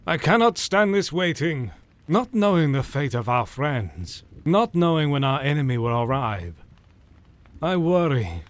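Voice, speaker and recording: An actor playing a learned elderly doctor who hunts vampires, speaking formally and measuredly. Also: male voice, on the high side, sing-song, a little slow. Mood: worried. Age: older. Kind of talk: audio drama acting.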